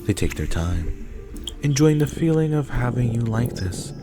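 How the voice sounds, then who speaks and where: A man's voice: medium pitch, very animated, moderate pace. A man reading scripted narration for an ASMR roleplay story. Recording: clear music behind the voice.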